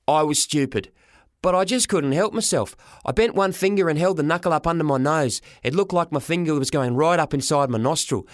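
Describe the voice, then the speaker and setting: A man narrating a children's story in the first person as an expressive audiobook reader. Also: on the high side, no room sound, studio quality.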